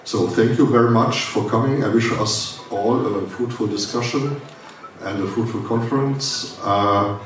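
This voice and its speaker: A man from Germany with a German accent, giving a formal welcome speech at a conference, pausing often with fillers and restarts. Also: medium pitch, far from the mic, soft background music. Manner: halting, many "uh" fillers and restarts. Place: conference hall. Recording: echoey hall, speaker well off the mic, faint hiss.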